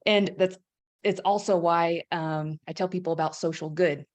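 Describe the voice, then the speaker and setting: A woman's voice: fairly low pitch, fairly even intonation, normal speed. A woman hosting a webinar, speaking in a conversational, upbeat way with fillers. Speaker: host. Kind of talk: webinar chat.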